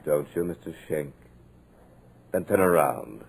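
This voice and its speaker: A man's voice: extremely low pitch, animated, unhurried, vocal fry, slightly rough, heavily acted. A man playing a sinister male hypnotist villain in an old radio drama, silky and taunting. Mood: gloating. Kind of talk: 1940s radio drama acting.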